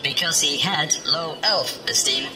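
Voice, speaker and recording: A woman voices a mocking comedy robot. It speaks low and slowly, deadpan and mean. Long reverb and loud music surround it.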